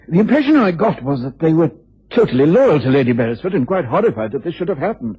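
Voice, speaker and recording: An actor playing a dutiful, measured police inspector with an English accent in a radio drama. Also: male voice, mid-range voice. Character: dutiful, methodical male police inspector, politely deferential. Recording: muffled, rough old radio recording.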